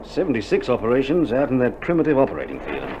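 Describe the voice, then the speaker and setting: An actor playing a weary, dry-humoured Australian army doctor in a wartime radio drama, speaking in a colloquial, matter-of-fact way. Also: male voice, mid-range voice, huge pitch swings, fast talker. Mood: grave, matter-of-fact. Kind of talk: recorded radio drama acting. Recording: dull vintage vinyl recording, loud noise.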